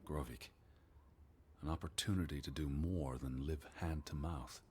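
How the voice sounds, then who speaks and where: Very deep, weary American naturalist. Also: male voice, steady tone, unhurried, slightly rough, full character voice. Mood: somber musing. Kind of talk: video game voice acting.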